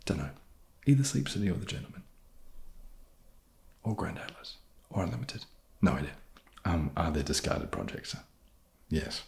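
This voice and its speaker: A man chatting softly in an ASMR question-and-answer style, with a low gravelly voice and a hesitant, dry, self-deprecating manner. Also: sing-song, normal speed, pauses often. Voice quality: low, gravelly, soft-spoken. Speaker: ASMR video creator and host. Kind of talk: soft-spoken ASMR question-and-answer chat.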